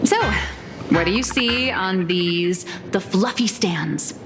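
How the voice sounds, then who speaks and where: A woman playing an earnest, wry comic artist in an anime-style video game, explaining things in a measured, slightly theatrical way. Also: lowish voice, noisy background. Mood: wry, musing. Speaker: comic artist presenting her work. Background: music.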